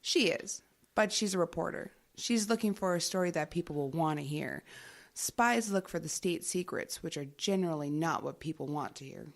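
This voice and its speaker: An actress playing a secretive government agent in an audio drama, dry and unflappable. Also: female voice, fairly low pitch, moderate pace, close to an everyday voice. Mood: wry.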